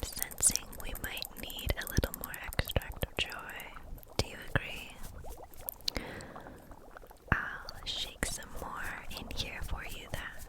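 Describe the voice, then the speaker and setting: Woman playing a whispering gentle kitchen witch. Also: lightly acted. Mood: gentle, soothing. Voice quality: breathy unvoiced whisper. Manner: soft, slow, caring, unhurried. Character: gentle, soothing kitchen witch brewing potions. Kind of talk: whispered ASMR roleplay.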